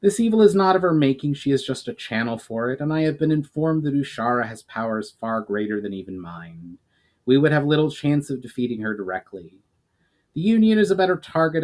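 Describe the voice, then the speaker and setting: A man's voice: medium pitch, a little mellow, natural voice. Casting: a man as a learned, solemn elf hero for a fantasy storybook, formal and earnest, with varied intonation. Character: grave, knowledgeable male elf mage on a quest.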